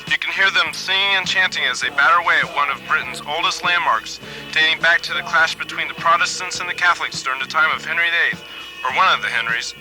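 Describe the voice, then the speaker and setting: A man's voice: on the high side, fast talker, close to an everyday voice, low-quality recording. A man playing a self-important foreign news correspondent in a mock on-location report. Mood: solemn reporting. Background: music.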